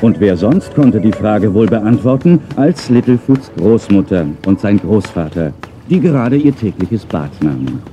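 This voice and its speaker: Low-voiced man narrating a children's audio play. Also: very animated, measured pace, hardly any pauses, mellow, soft background music.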